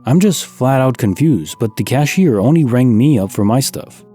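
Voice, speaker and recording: A man calmly reading first-person stories aloud as the narrator of a sleep-story channel, in a soft, unhurried scripted delivery. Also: slightly rough. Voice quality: soft and low. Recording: light music underneath.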